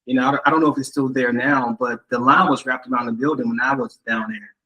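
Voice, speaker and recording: Male host, mid-range voice, relaxed, lots of "yeah" and "wow" between questions. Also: medium pitch, fast talker, slightly rough, low-quality recording. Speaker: interview host. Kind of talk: casual interview questions.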